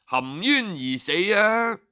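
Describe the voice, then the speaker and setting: A man's voice: sits high, sing-song, takes it slowly, sharp tone, clear, resonant tone, full character voice. A man voicing an earnest, persuasive servant of ancient China in a storybook tale, plaintive and emphatic. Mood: sighing regret.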